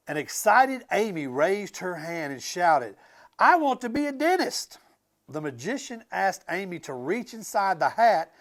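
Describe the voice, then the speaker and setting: A man reading a picture book aloud to children in an expressive, emphatic way, with casual asides to his young listeners. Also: sits high. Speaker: school transportation director reading aloud to students.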